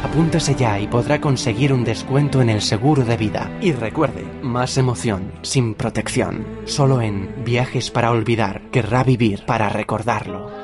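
An actor playing a slick, salesmanlike announcer in a parody radio advert for a travel agency. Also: male voice, medium pitch, normal speed, few pauses, lightly acted. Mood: hyped, persuasive. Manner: salesmanlike, theatrical. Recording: loud music bed behind. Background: loud music.

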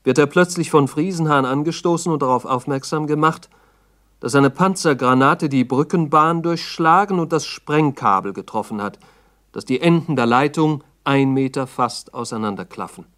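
A man narrating a 1960s documentary radio drama in a sober, factual manner with measured diction. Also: on the high side, a bit bright.

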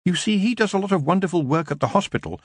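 A man's voice: high voice, animated, fast talker, slightly rough, dry recording, studio quality, voice pushed up. A man voicing an earnest, lifted young witch. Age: young adult. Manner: earnest, frank. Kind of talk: audiobook character voice.

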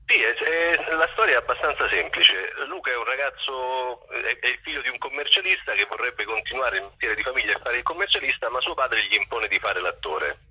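A man's voice: mid-range voice, animated, a little fast, hardly any pauses, slightly rough. A man speaking casually as an interview guest, heard over what sounds like a phone line. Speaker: theatre performer, interview guest.

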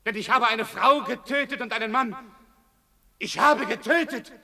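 A guilt-stricken murderer. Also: male voice, very high-pitched, normal speed, in a large hall, full character voice. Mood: anguished shouting. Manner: anguished shouting, then flat weary replies. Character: guilt-stricken male murderer crying his guilt. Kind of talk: radio play acting.

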